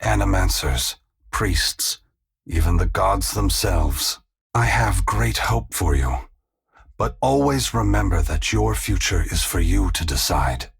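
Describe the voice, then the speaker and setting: Ancient game deity, low-pitched, very husky, grave, compassionate, wise, measured and formal. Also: male voice, a little mellow, exaggerated voice. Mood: kind, hopeful. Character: solemn, gentle, ancient male god, wise and compassionate. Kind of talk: scripted video game character dialogue.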